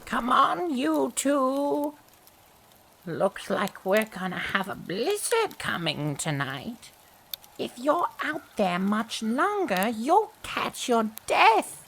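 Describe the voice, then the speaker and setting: A woman playing a kindly elderly grandmother in an audio drama, telling stories in a gentle, unhurried way. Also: a little high, very animated, vocal fry, exaggerated voice. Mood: fond, welcoming. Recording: echoey reverberant room with noise.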